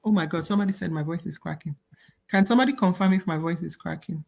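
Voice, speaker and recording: A woman's voice: on the low side, fast, gravelly, a little mellow. A woman hosting an online panel discussion over a video call, talking earnestly with many fillers. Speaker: host.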